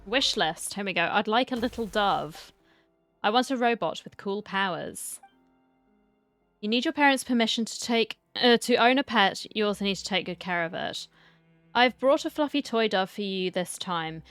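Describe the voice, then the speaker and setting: Clear-voiced woman gamer. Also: medium pitch, expressive, moderate pace, a bit bright, no echo. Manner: chatty, talking back to the game. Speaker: gamer, streamer. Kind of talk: casual let's-play commentary, reading dialogue aloud. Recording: game music behind the voice.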